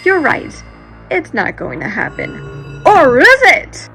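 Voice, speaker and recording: Shrill, haughty villainous sorceress. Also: female voice, huge pitch swings, vocal fry, bright, forceful tone, soft background music, heavily acted. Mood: gloating. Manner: taunting, drawn-out delivery. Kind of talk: audio drama acting.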